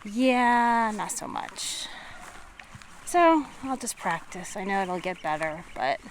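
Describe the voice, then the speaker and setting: A woman chatting casually to viewers in an unscripted, musing way with trailing pauses, as a hiking vlogger recording outdoors. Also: mid-range voice, animated. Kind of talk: casual vlog chat to viewers. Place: outdoors, on a walk and at a tent setup. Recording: noisy outdoor camera recording with natural ambience.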